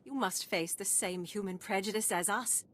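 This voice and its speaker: A woman's voice: mid-range voice, moderate pace, fairly bright tone, a little hiss or hum, natural voice. An actress playing a proud, wronged female alien refugee leader in a video game, speaking formally and solemnly. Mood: indignant. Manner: formal, solemn, impassioned pleading. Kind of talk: scripted video game dialogue.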